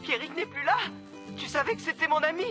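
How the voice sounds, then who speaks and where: An actress playing a naive, plaintive cartoon caller looking for a lost friend. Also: female voice, sits high, quick pace, bright, forceful tone, soft background music.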